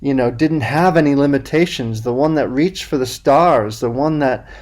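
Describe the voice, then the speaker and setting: A man's voice: medium pitch, normal speed, a little mellow. A man speaking as a podcast guest and men's coach, musing thoughtfully in casual conversation with spiritual wording.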